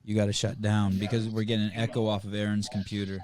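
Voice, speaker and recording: A man's voice: lowish voice, flat delivery, fast, darkish tone. A man hosting a casual podcast interview over a video call, blunt and teasing, pressing his guest with probing questions. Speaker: podcast host.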